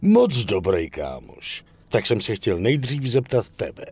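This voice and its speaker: Swaggering union boss, animated. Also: male voice, fairly low pitch, faint music behind. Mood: wary, businesslike. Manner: bossy, blunt, swearing, talks down to others. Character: cynical, foul-mouthed middle-aged male dockworkers' union boss. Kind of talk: dubbed crime game cutscene acting.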